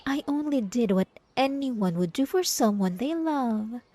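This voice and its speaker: A woman's voice: a little high, lively intonation, mellow. A woman playing a possessive, gaslighting ex-girlfriend in an ASMR roleplay, coy and mock-innocent with a sweet menace, speaking straight to the listener. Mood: indignant, cloying. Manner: coy, teasing, mock-innocent, drawn-out pet names.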